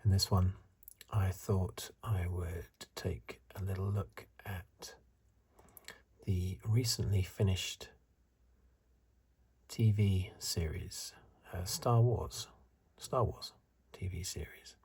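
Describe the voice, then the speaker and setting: A man in his fifties giving soft-spoken, whispery ASMR commentary in a hesitant, halting, unscripted way, with a breathy voice. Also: deep voice, fairly even intonation. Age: 50s. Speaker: host of an ASMR video channel.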